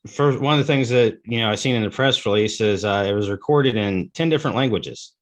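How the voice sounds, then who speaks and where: A man's voice: lowish voice, brisk. A man hosting a casual interview over a video call, enthusiastic and folksy with loose grammar. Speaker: interview host.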